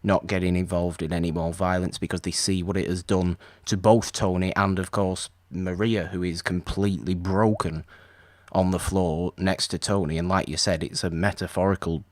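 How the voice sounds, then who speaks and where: A man's voice: low-pitched, flat delivery, normal speed, no room sound. A man co-hosting a podcast, chatting casually about an old film and rambling with restarts. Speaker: podcast co-host.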